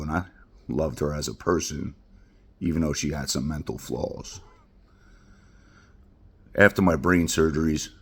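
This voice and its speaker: A middle-aged man with an extremely low, husky voice. He speaks in a blunt, rambling confessional monologue, heartfelt and plain-spoken. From Queens, New York. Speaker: vlogger.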